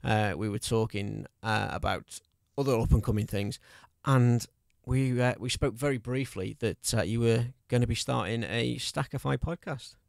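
A man's voice: mid-range voice, moderate pace, creaky, a little mellow, dry recording. Hesitant man with dry close sound. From North Wales border area. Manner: hesitant, many fillers, self-deprecating laughs. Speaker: podcast host. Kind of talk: casual podcast interview.

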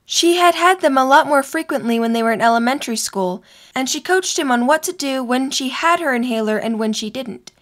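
A woman's voice: high-pitched, animated, fairly quick, fairly bright tone. A young woman reading scripted story narration for an audio drama.

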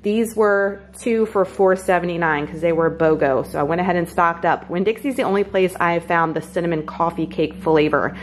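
A woman's voice: fairly low pitch, a little fast, few pauses, slight background noise. A woman chatting casually through a grocery haul in her kitchen, upbeat and talking straight to viewers. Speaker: vlogger showing a grocery haul. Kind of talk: casual chat. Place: home kitchen, indoors.

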